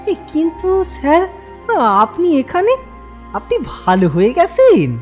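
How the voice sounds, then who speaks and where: Woman voicing a cheeky, high-pitched young thief boy. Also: a little slow, heavily acted. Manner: animated, rustic colloquial speech. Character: cheeky young male petty thief, impudent and good-hearted. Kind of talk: comedy cartoon voice acting. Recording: faint music behind.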